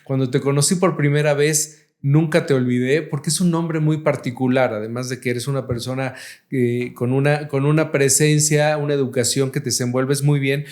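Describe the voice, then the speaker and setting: A man's voice: medium pitch, fairly even intonation, moderate pace, darkish tone. A man hosting a casual real estate podcast and introducing his guests with effusive praise and frequent fillers. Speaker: podcast host, realtor.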